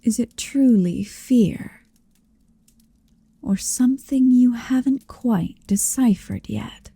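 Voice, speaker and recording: A woman playing an ancient, haughty, possessive female dragon in human shape, in an intimate ASMR roleplay, breathy and often whispering, with a commanding, teasing manner. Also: a little high, dark tone, no echo, full character voice. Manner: slow, languid, commanding, teasing.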